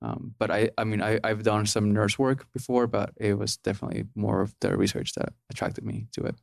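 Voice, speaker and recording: A man's voice: lowish voice, level tone, brisk, vocal fry, darkish tone, dry recording, clean studio sound. A man in his thirties from Peru, a podcast guest talking casually and hesitantly with many ums and restarts. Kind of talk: casual interview.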